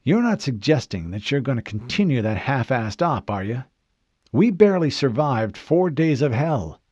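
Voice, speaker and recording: A man voicing a gruff, skeptical female military crew member in an audiobook, speaking bluntly. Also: medium pitch, sing-song, normal speed, darkish tone, dry recording, highs cut off, voice pushed up. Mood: incredulous, protesting. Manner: blunt, cursing. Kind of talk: audiobook character voice.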